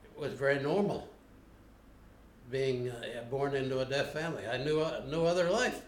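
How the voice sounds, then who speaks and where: An older man being interviewed, recalling his past in a plainspoken, unhurried way with frequent pauses. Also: mid-range voice, slight background noise. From United States. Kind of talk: unscripted recollection. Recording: roomy home video recording.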